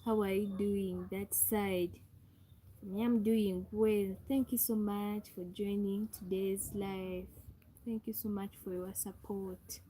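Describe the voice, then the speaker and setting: Young Ugandan woman hosting a phone livestream, unhurried and warm-toned, faint room ambience behind. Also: medium pitch, level tone, slight background noise, rough recording. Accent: Ugandan English. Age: young adult. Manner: repetitive, calls out to viewers and asks for thumbs up. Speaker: livestream host. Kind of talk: live stream greeting viewers.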